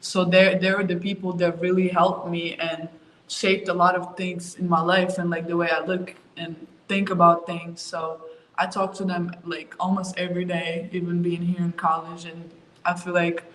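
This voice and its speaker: A young woman from Ukraine answering interview questions about her move and her sport, talking in a relaxed way with lots of fillers. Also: on the low side, normal speed. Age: young adult. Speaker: college basketball player, interview guest. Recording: roomy with faint ambience.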